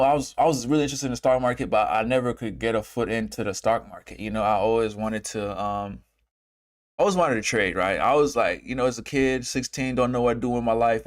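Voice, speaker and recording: A man in his twenties from Uganda who moved to America, hosting a casual livestream and talking in a halting, rambling way, repeating phrases and circling back. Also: medium pitch. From Uganda, moved to America as a child. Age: 20s. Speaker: trading streamer and host.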